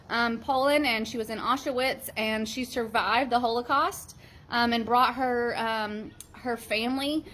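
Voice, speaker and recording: A woman's voice: fairly high pitch, fairly bright tone, slight background noise. A middle-aged woman who works as a teacher and librarian, chatting earnestly about a book with many ums. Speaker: teacher and school librarian reviewing books. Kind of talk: casual book review vlog.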